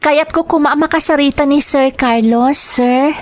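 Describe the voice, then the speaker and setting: A woman's voice: sits high, measured pace. An actress playing an earnest, wronged young daughter seeking her father, pleading respectfully in a radio drama. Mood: timid, respectful. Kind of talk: Filipino radio drama acting.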